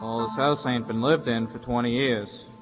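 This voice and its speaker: An actor playing a wary, hard-bitten taxi driver with blunt slangy talk in a stage radio play. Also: male voice, medium pitch, fairly even intonation, normal speed, over music, lightly acted. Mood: irritated. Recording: reverberant hall stage recording.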